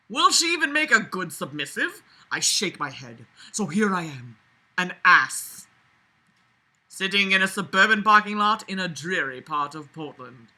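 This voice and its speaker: Sarcastic woman with a sharp, bright voice. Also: on the low side, sing-song, measured pace, long pauses. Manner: sarcastic, exasperated, foul-mouthed. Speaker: host reading a novel aloud with commentary. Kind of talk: read-aloud with casual mocking commentary.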